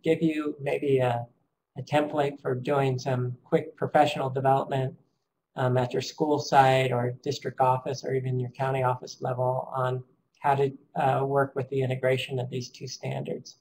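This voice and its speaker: A man with a raspy, strained voice gives a webinar presentation over a call. He speaks in a flat, level tone and pauses often with ums. A faint hiss sits under the audio. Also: medium pitch, rough recording. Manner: hesitant, many ums, measured.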